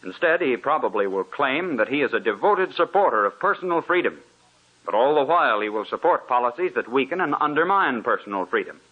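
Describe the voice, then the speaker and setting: A man's voice: medium pitch, big pitch swings, a little fast, breathy edge. A man as a radio announcer reading a scripted 1940s sponsor address in a formal, earnest, oratorical way. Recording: muffled old radio recording.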